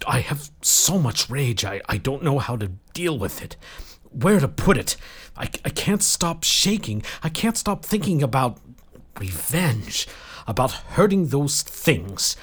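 A man's voice: fairly high pitch, slightly rough, voice pushed up, exaggerated voice. A man acts out a troubled young man in an audiobook story. He stammers and repeats himself in a raised, swinging voice, full of anguish and self-doubt. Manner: halting, stammering, repeats words.

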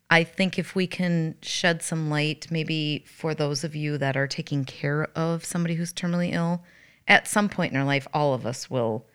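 A middle-aged woman with a slightly raspy voice, talking earnestly and reflectively as a podcast co-host. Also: deep voice, fairly bright tone. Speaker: podcast co-host, hospice worker. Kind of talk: conversational podcast talk.

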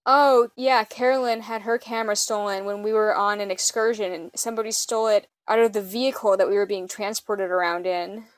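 A woman's voice: medium pitch, steady tone, brisk, hardly any pauses, no echo. A woman hosting a podcast in casual chat with a friend, with a slightly nasal voice and informal speech peppered with "like" and swearing. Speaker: podcast host.

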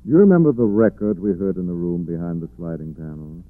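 An actor playing a suave, thoughtful gentleman detective, measured and musing, in 1940s radio style. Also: deep voice, no echo, close to an everyday voice. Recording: muffled narrow-band 1940s radio recording.

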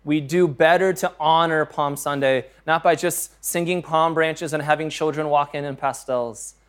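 A man's voice: on the high side, steady tone, moderate pace, a bit bright, smooth. A man preaching a sermon as pastor, earnest and conversational, reading scripture aloud and joking about his own slips.

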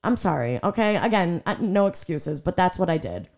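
A woman in her twenties with a raspy voice, animated and defensive as she chats on a podcast. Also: lowish voice, normal speed. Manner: animated, defensive, laughs often. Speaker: podcast co-host. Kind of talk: casual chat.

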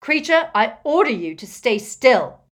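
A woman's voice: medium pitch, expressive, measured pace. Woman playing a fierce, commanding teenage boy. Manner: forceful, demanding, interrogating.

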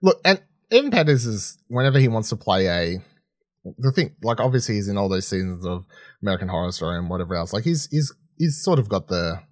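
A man co-hosting a podcast, chatting casually and swearing freely, with a halting, rambling way of talking that often restarts mid-sentence. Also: lowish voice, sing-song, a little mellow, dry recording, clean studio sound. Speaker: podcast co-host reviewing film and TV. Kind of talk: casual unscripted chat.

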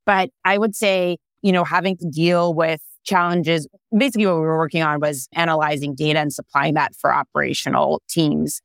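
Woman in her thirties from Israel, startup founder, conversational, smooth resonant voice, dry close sound. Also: on the low side, no echo. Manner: thoughtful, leans on fillers like "you know" and "I mean". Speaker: startup founder and CEO, guest. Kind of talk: conversational interview answers.

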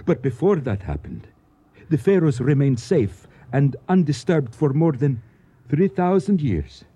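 A learned, nostalgic gentleman in a radio drama, speaking in florid, courteous phrases. The voice is deeply mellow and husky, with lively swoops of pitch. The recording is somewhat dull. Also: measured pace, heavily acted. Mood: absorbed storytelling. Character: courtly Egyptian man, learned, nostalgic storyteller.

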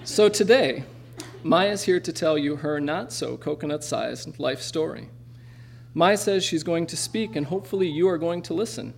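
A man's voice: on the high side, animated, normal speed, gravelly, a little hiss or hum. A man hosting a story show, reading a speaker's introduction on stage with a dry delivery and awkward asides. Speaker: event host introducing a speaker. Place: performing arts center stage.

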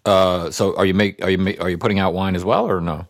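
A man's voice: low voice, steady tone, fast, no room sound, studio quality. A man hosting a casual podcast conversation, a bartender himself, friendly and affirming, often ending on tag questions.

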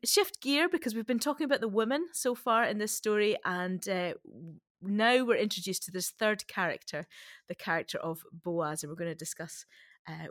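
A woman hosts a relaxed discussion in a conversational way. Her pitch sits in the middle range for a woman, and she is recorded dry and close, with very little room sound. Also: no echo. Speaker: podcast host.

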